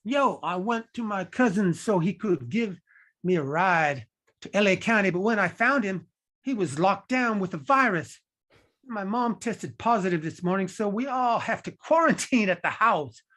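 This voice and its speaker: A young male messenger, played by an actor, explains himself apologetically in streetwise slang. The pitch is middling, in a stage drama read over a video call. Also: expressive, normal speed, a bit bright. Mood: apologetic, urgent. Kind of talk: table read of a stage play, urban slang.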